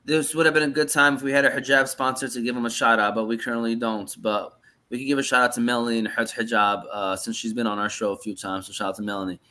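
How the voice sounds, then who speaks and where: A man from Michigan hosts a podcast, talking fast with a bright, forceful voice. He asks loose, rambling questions. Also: medium pitch, flat delivery. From Michigan, United States. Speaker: podcast host. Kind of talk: casual podcast hosting.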